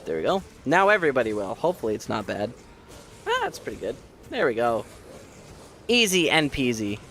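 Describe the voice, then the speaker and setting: A man streaming a game who chats casually and jokes at his own expense with viewers, hoarse from losing his voice. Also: medium pitch, wildly swooping pitch, fairly quick, a bit bright, a little hiss or hum. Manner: rambling, self-mocking, joking with viewers. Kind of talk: casual chat while playing.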